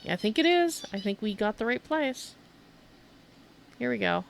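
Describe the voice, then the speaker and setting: A woman's voice: on the high side, sing-song, normal speed, gravelly, slight background noise. A woman streamer giving casual video game commentary, rambling and thinking aloud as she goes.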